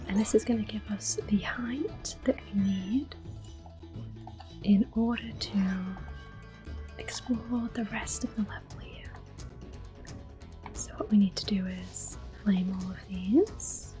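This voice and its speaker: A woman giving gentle, unhurried ASMR game commentary in a breathy, whispery voice. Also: mid-range voice, steady tone. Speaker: gameplay host. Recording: music behind the voice. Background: soft game music.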